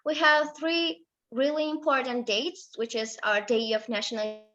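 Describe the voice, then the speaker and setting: A young woman from Georgia, just out of school, presenting her country in English with a Georgian accent, halting and full of fillers and self-corrections. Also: high-pitched, flat delivery, a bit bright, muffled recording. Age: late teens. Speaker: student presenter.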